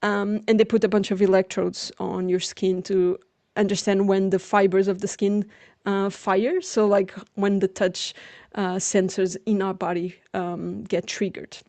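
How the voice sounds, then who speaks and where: A woman's voice: mid-range voice, little pitch movement, a little slow, darkish tone, no echo. Young Portuguese-accented woman giving a lecture. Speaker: lecturer, PhD researcher. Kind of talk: informal academic lecture.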